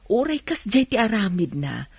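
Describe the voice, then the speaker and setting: A woman's voice: mid-range voice, sing-song, moderate pace, vocal fry, slightly rough, no room sound, exaggerated voice. An actress with an Ilocano accent playing a patient, kindly mother who earnestly counsels her children in a radio drama. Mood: earnest, advising. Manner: earnest, moralizing.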